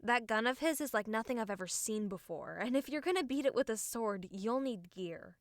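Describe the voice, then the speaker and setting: A woman's voice: a little high, lively intonation, normal speed, dry recording, lightly acted. A woman playing a serious, strategic young female fighter in an audiobook, explaining things matter-of-factly. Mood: firm, instructive. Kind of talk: audiobook character acting.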